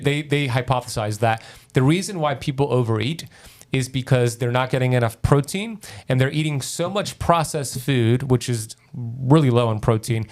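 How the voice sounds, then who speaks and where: A man talking as a podcast guest, explaining health ideas in a keen, teacherly way with examples. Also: medium pitch. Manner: enthusiastic, teacherly, gives examples. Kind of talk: explanatory interview talk.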